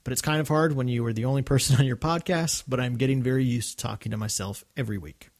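A man's voice: mid-range voice, fast talker. A man co-hosting a fan podcast, reading listener mail and chatting casually, laughing easily. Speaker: podcast co-host.

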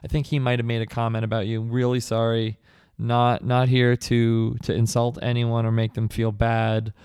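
Guy hosting a comedy podcast, deadpan and rambly, smooth voice, dry close sound. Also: mid-range voice, moderate pace, dry recording. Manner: mock-earnest, rambling, deadpan. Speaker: podcast host.

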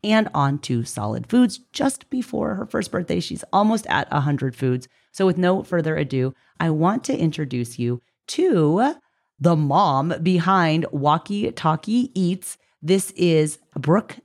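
Low-pitched woman hosting an interview. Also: sing-song, unhurried, a little mellow. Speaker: podcast host and interviewer. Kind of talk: conversational interview.

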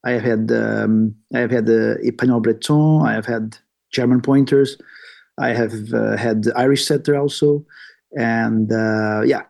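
A man from Portugal with a Portuguese accent, answering interview questions in halting English as he searches for words. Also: mid-range voice, fairly even intonation, creaky, a little mellow. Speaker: podcast guest, bird hunter. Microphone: remote call.

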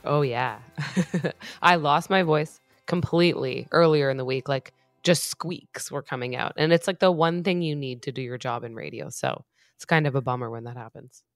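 A hoarse woman who has lost her voice, chatting casually as a radio host. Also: a bass voice, normal speed, no room sound, studio quality, faint music behind. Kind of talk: casual chat.

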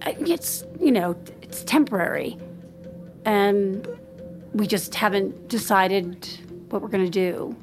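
A woman's voice: medium pitch, faint music behind. A woman playing a bewildered, hurt wife in an audio drama, speaking hesitantly in a naturalistic style. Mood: flustered, evasive. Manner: halting, hesitant.

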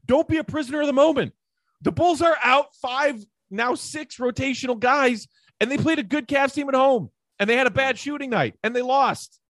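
A man's voice: very high-pitched, normal speed, fairly bright tone, dry recording, poor audio. A man hosting a sports podcast, giving conversational commentary and building reasoned points in long, stressed lists.

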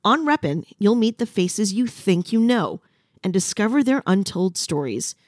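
Woman, promo announcer, scripted trailer read, mid-pitched, dry studio sound. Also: very animated, normal speed, no room sound.

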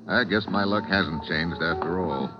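An actor playing a brash, wisecracking American adventurer in a 1940s radio drama, with breezy, slangy, self-assured delivery and an American accent. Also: male voice, sits low, slow. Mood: flirtatious. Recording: dull vintage radio recording, music behind.

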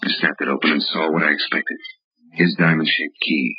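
An actor playing a hardboiled, wry private detective in 1940s radio acting, tough and clipped. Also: male voice, very deep voice, fairly even intonation, normal speed, husky, no room sound, full character voice. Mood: wry, grim. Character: hardboiled, wry male private detective narrating his case. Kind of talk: 1940s radio acting, scripted first-person narration. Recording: muffled old radio recording with music.

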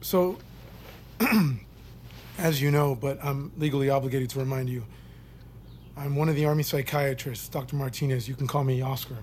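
Audio drama role: actor as calm military psychiatrist, man, accommodating, gently probing, faint ambience behind him. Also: mid-range voice, slight background noise, natural voice. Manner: measured, polite, probing. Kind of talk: naturalistic audio fiction acting.

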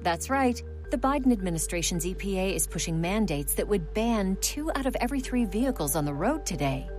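A woman's voice: medium pitch, very animated, fairly quick. Woman reading an ad over music. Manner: persuasive, punchy. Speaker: ad announcer. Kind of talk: scripted ad read.

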